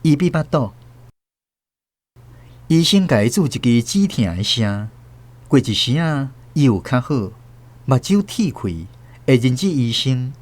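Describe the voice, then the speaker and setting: A man narrating a radio drama as host, with expressive storytelling. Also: mid-range voice, measured pace, long pauses.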